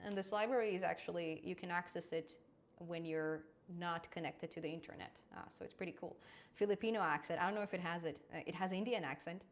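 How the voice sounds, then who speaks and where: A woman's voice: lowish voice, quick pace, a little hiss or hum. Chatty woman hosting a coding stream. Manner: chatty, laughs often, restarts words. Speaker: programming channel host. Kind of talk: live coding stream chat.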